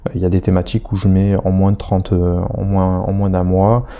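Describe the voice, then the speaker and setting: A man's voice: sits low, level tone, a little fast, mellow, smooth. A man with a head cold talking through an online course tutorial step by step, chatty and hesitant with many fillers. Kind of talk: screen-recorded tutorial walkthrough.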